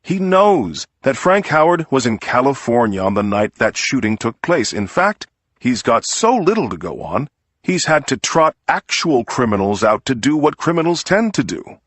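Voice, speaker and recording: A man's voice: mid-range voice, sing-song, moderate pace, a bit bright, no echo. A man voicing an indignant, persuasive defense lawyer delivering an oratorical closing argument to a jury in an audiobook. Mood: emphatic, persuasive. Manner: oratorical, emphatic, scornful.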